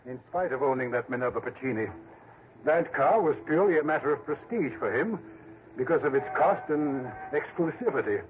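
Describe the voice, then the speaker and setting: A man's voice: mid-range voice, animated, fairly quick, natural voice. An actor playing a fussy, authoritative man in a 1960s radio drama, speaking formally and emphatically. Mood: insistent explaining. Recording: muffled, slightly noisy old radio broadcast.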